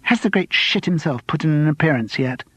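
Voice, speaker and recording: A bold, resentful young woman in an audiobook, acted by a male narrator. The voice is hissed and half-whispered, husky and itching for a confrontation. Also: on the high side, expressive, brisk, fairly bright tone, dry recording, voice pushed up. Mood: sardonic, hushed. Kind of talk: audiobook character dialogue.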